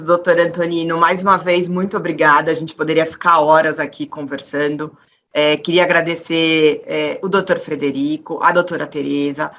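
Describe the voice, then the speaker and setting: Bright-voiced woman moderating a panel. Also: low voice. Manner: polite, with hesitant fillers. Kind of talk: closing thanks.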